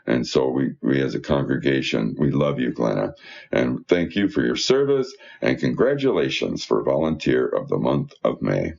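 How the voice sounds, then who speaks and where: A man's voice: extremely low pitch, very animated, moderate pace, creaky, darkish tone. A man reading church newsletter material aloud, now and then stumbling over his words, in a dull, muffled recording. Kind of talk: read-aloud church announcements and prayer.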